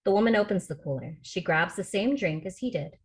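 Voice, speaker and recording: A woman's voice: lowish voice, lively intonation, fairly quick. A woman reading scripted screenplay narration as the stage-direction reader on a video call.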